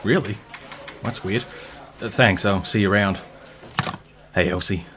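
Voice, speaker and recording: A jittery junior government aide, unsure of himself and forever hedging, in a radio comedy. A man plays him with a very low, deep voice. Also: unhurried, over music, close to an everyday voice. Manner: hesitant, self-doubting.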